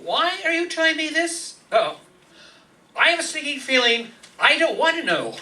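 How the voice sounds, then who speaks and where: Guy doing a grumbly radio sitcom husband, really high bright voice, kinda far from the mic. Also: normal speed, exaggerated voice. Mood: exasperated. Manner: animated, protesting. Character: grumbling, exasperated but good-natured sitcom husband. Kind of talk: old-time radio sitcom acting.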